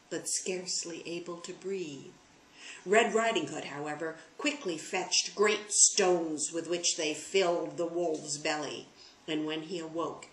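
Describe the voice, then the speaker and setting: Woman reading a fairy tale out loud, lowish voice, roomy echo. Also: slight background noise. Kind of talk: storybook narration. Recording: roomy, echoing sound.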